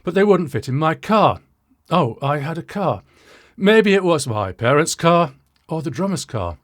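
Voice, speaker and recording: A British man in his sixties telling a story to viewers as a presenter, in a wry, self-deprecating way with dramatic pauses and asides. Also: fairly high pitch, big pitch swings. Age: 60s. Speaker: presenter of an audio channel.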